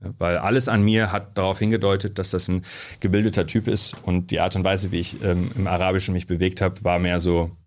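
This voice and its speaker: A man from Germany, a sociologist guest, telling stories in a relaxed studio interview, with lots of fillers. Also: sits low, a little fast. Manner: chatty storyteller, frequent fillers.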